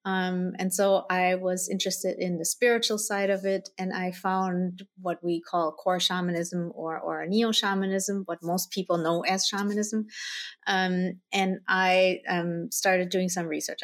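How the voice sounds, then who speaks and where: A middle-aged woman from Germany telling a personal story in a conversational way as an interview guest. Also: on the low side. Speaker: guest, spirit guide channeler.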